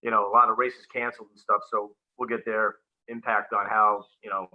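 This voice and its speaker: A man's voice: fairly low pitch, level tone, a little fast. Easygoing male co-host on a video call, jokey, lo-fi audio. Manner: joking, easygoing banter. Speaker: podcast co-host. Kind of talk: casual video-call chat show.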